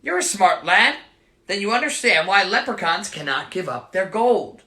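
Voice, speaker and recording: Storyteller putting on a cranky little leprechaun, wobbly irish lilt, bouncy pitch. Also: lively intonation, bright, forceful tone, roomy sound. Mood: wistful. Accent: attempted Irish. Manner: high, lilting, quick to anger. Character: feisty male leprechaun cobbler, proud and stubborn.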